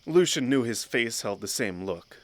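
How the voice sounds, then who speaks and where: A man's voice: mid-range voice, animated, moderate pace, no room sound. A man narrating a fantasy audio drama in a scripted storybook style.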